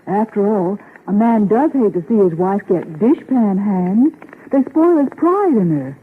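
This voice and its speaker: Woman playing advising married friend, medium pitch, vintage radio commercial, narrow old sound. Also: lively intonation, a little slow, a little hiss or hum, lightly acted. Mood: reassuring. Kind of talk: 1930s radio commercial acting. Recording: thin 1930s radio recording.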